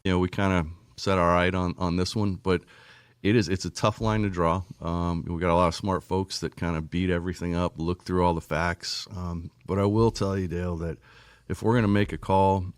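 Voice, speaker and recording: Low-voiced, plain-spoken man in interview. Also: steady tone, moderate pace, clear, resonant tone, no echo, studio quality. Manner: plain-spoken, frequent 'right?' tags. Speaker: motorsport series official, podcast guest. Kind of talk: casual explanatory interview talk.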